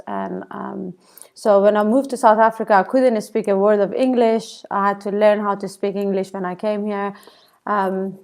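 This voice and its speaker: A young Iranian woman speaks English with a clear Iranian accent, her voice carrying a gravelly, fry-tinged edge. She talks in a measured way, often pausing on soft ums as she answers a podcast host over a remote call. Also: medium pitch. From Iran. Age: young adult. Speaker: podcast guest. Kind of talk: casual interview answers. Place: home in South Africa, remote call.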